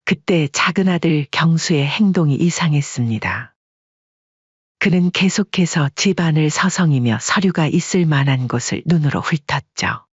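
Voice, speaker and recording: Storytelling narrator, calm and measured, breathy tone, dull lo-fi audio. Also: mid-range voice, dry recording.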